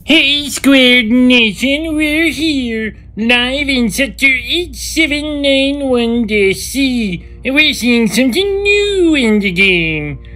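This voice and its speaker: A woman's voice: sits high, expressive, slow, hardly any pauses, fairly bright tone, faint music behind, exaggerated voice. A woman playing a boastful, condescending male guild leader who livestreams, in a fantasy audio drama. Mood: showy, excited. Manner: grandiose, condescending showman.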